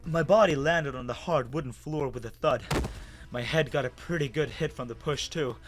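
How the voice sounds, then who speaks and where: A man giving an expressive, dramatic first-person reading of a story as its narrator. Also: medium pitch, moderate pace. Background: music.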